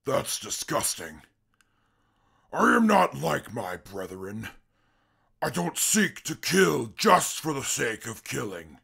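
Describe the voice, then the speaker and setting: Haughty mutant brute, very gravelly, husky, formal and short-tempered, post-apocalyptic radio drama. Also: male voice, mid-range voice, very animated, heavily acted. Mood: restrained, haughty. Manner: formal, deliberate speech flaring into shouted outbursts, then apologizing. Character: educated super mutant brute, proud, short-tempered, self-styled genius.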